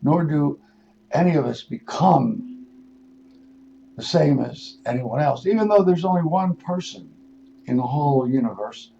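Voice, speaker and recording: An older man, in his sixties or beyond, hosting a radio show with a rambling spiritual monologue, musing and halting, then leaning into words for emphasis. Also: on the high side, lively intonation, creaky, warm tone. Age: 60s or older. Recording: dull lo-fi radio audio with noise.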